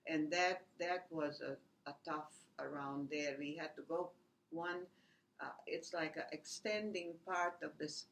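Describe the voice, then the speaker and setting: Brief: woman, 80s, gravelly fry, halting reminiscence. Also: deep voice, a bit bright, slight background noise. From Latvia. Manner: halting, many restarts and "you know" fillers. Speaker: oral history interviewee. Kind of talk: rambling personal recollection.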